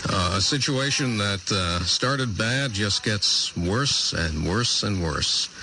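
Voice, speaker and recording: A man's voice: on the low side, animated, takes it slowly. A male radio anchor delivering live breaking news, grave and hesitant. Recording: noisy archival radio broadcast.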